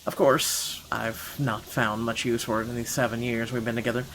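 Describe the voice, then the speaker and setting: A man voices a wealthy, short-tempered gentleman narrating his own thoughts in a horror visual novel. The delivery is dramatic and indignant at a medium pitch, over clearly audible background ambience. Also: normal speed, slight background noise, natural voice, low-quality recording. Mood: annoyed, wry. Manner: indignant, expressive, stumbles over the script. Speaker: gaming channel host.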